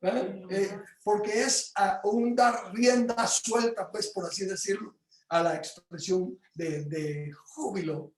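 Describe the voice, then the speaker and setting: A man teaching his home group about praise in a casual but animated way, jumping into loud exclamations and stumbling over fillers. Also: high-pitched, slow, reverberant, rough recording. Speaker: Bible teacher.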